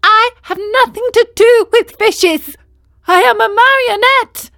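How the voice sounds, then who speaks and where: A woman voicing a frightened wooden puppet boy who cries out in high, loud, sobbing bursts. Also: takes it slowly, vocal fry, no room sound, studio quality, exaggerated voice. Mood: indignant.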